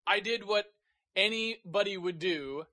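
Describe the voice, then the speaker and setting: Bright, high-voiced man ranting casually. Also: a little slow. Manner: profane, animated ranting. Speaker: podcast co-host. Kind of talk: casual chat. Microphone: podcast microphone.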